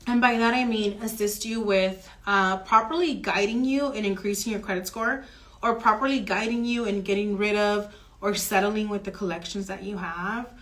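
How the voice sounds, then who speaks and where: A woman mortgage broker explaining home financing options in an earnest, steady advisory chat. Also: mid-range voice, normal speed.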